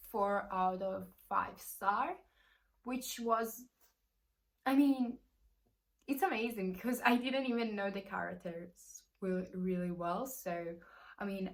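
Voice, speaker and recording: Slow, hesitant Italian-accented young woman. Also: mid-range voice, fairly bright tone. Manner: hesitant, searching for English words, many fillers. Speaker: book vlogger. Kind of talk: casual book review vlog.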